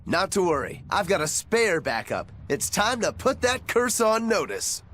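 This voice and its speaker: A man's voice: sits high, very animated, a little slow, a bit bright, slight background noise, full character voice. A man voicing a breezy, roguish, encouraging young joker in a dubbed video game, with a casual, cajoling manner. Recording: faint music behind the voice.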